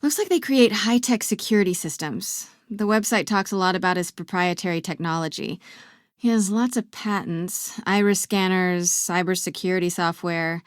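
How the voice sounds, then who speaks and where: A woman playing a determined young reporter in a video game, breathy and often hushed, with a wry way of talking to herself. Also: lowish voice, normal speed, dry recording, clean studio sound, close to an everyday voice. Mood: brisk, teasing. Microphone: close, dry studio mic.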